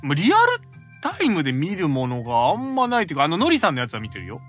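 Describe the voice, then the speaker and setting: 関西弁でツッコむ明るい男性. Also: male voice, on the high side, wildly swooping pitch, a bit bright, faint music behind. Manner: quick reactive tsukkomi, laughing a lot. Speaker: podcast co-host. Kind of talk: casual podcast chat.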